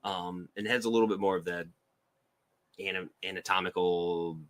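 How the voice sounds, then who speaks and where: Male interview guest, deep voice, informal, slightly hesitant explanations. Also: fairly even intonation, moderate pace, a bit bright. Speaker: podcast guest, figure sculptor. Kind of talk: casual interview chat.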